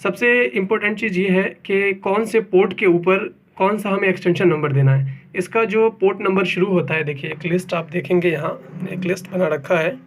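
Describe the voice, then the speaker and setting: High-pitched man giving a technical tutorial. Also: normal speed, hardly any pauses. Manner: explanatory, repeats points, mixes in English technical terms. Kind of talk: spoken hands-on technical tutorial.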